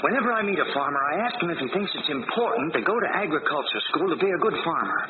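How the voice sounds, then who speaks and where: An actor playing a shy, earnest small-town teenage boy in love in a 1940s radio drama, halting and sincere. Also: fairly high pitch, very animated. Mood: earnest, unsure. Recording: very noisy, narrow-band 1940s radio recording.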